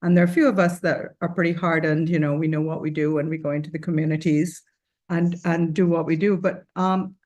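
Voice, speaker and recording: A woman's voice: sits low, fairly even intonation, a little fast, a little mellow. A woman, speaking on a panel as a charity founder, earnest and rambling with many fillers and restarts. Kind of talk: casual panel discussion.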